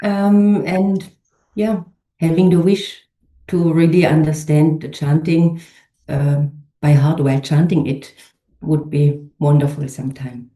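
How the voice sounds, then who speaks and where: A woman from Germany, a Buddhist nun, speaking softly and hesitantly over a video call. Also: sits low, steady tone, unhurried. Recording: roomy video call audio.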